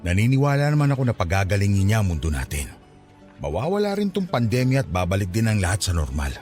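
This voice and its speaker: A man acting a devout, wise, gently nagging father in his fifties in a Filipino radio drama, speaking in a fatherly, reassuring way with a Filipino accent. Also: lowish voice, big pitch swings, quick pace, faint music behind. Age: 50s.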